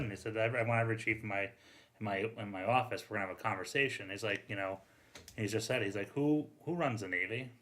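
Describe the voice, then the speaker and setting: A man's voice: fairly low pitch, a bit bright. A man telling things in a casual, informal podcast style, often interrupting himself and peppering his talk with "like". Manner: informal, frequent "like", self-interrupting. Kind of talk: casual conversational storytelling.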